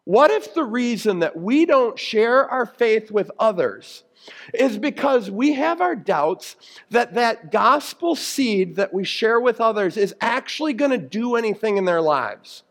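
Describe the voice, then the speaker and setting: A man with a notably high-pitched voice gives a conversational sermon. He speaks as a pastor who teaches step by step, keeping his explanations plain-spoken and grounded in everyday examples. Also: very animated, unhurried. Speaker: pastor preaching.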